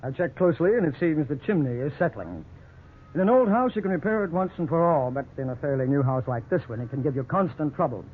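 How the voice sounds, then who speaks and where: Frank, highish-voiced house inspector. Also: male voice, lively intonation, slightly rough. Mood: matter-of-fact. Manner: frank, deliberate, matter-of-fact. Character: matter-of-fact, thorough male house inspector. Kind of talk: 1950s radio drama acting. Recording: tinny, noisy old radio broadcast.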